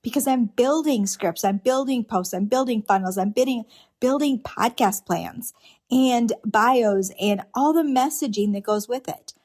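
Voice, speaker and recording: Slow-talking earnest woman podcaster. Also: on the high side, darkish tone. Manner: earnest, unscripted, many restarts and rhetorical questions. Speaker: podcast host. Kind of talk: reflective solo podcast monologue.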